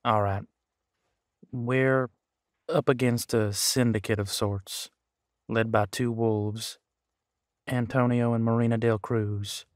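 An actor playing a steady, level-headed detective in an audio drama, matter-of-fact in delivery. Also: male voice, medium pitch, takes it slowly, pauses often, no echo, studio quality, close to an everyday voice.

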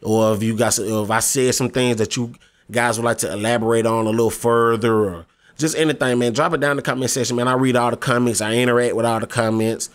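A young man in his twenties chats through a gaming discussion in an easygoing, slangy way. His voice sits at an ordinary middle pitch, and he keeps circling back and pleading as he talks. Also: steady tone, fast talker. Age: 20s. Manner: laid-back, slangy, eager; pleading, repeats himself. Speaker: gaming YouTuber. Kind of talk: casual discussion vlog.

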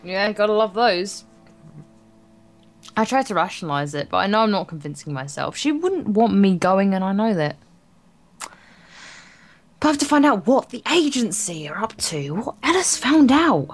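A woman's voice: mid-range voice, big pitch swings, moderate pace, pauses often. A woman co-hosting a let's play, reading a visual novel aloud with playful, casual banter. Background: soft guitar music.

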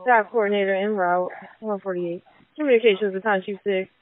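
A woman's voice: medium pitch, steady tone, fast talker, background noise. A woman working as an emergency dispatcher, speaking in clipped procedural style over the radio. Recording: lo-fi narrow radio dispatch audio.

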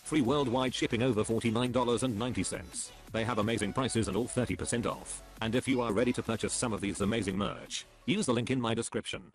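A man's voice: mid-range voice, fast talker, few pauses, soft background music. A man reading a promotional ad for merchandise. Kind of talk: ad read.

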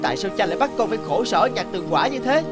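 An actor voicing a lazy, complaining young peasant son in an animated folk tale, with a whiny, grumbling manner. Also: medium pitch, fast, close to an everyday voice. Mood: puzzled, grumbling. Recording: loud music under the voice.

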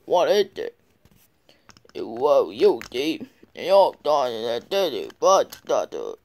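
A man improvising a toy-car skit in a bedroom, with halting, rambling play-acting. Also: mid-range voice, wildly swooping pitch, a little mellow. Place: bedroom at home.

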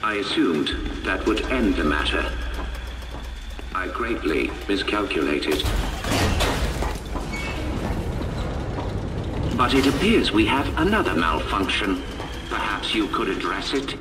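A low-pitched, smugly superior scientist machine in a video game. He is formal and courtly, with lively intonation, heard a little way off over music. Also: recorded from a distance. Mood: detached, reflective. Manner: formal, measured, condescending. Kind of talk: dramatic video game acting.

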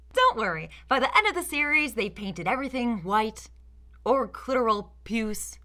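A woman's voice: mid-range voice, pushed and bright. A young woman giving scripted, sarcastic commentary as a video essayist, with a theatrical, mock-indignant manner. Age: young adult.

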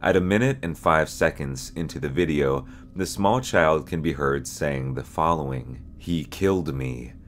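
A young man reading scripted narration as host, in a measured, serious, ominous storyteller manner. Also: extremely low pitch, a little hiss or hum. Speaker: host and narrator of a horror explainer channel.

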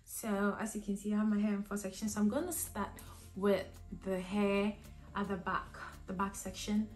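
A young woman with a slightly hoarse voice from a cold, chatting her way through a hair tutorial at home with lots of ums and restarts. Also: mid-range voice, fairly even intonation, normal speed. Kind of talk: casual step-by-step tutorial. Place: living room at home, indoors. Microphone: camera in front of her at desk distance. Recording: echoey room with soft music behind.

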